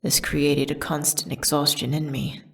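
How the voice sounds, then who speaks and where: A woman playing a condemned witch who solemnly recounts her tragic story in a hushed, breathy, measured ASMR audio drama style. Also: low voice, flat delivery. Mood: somber, wistful.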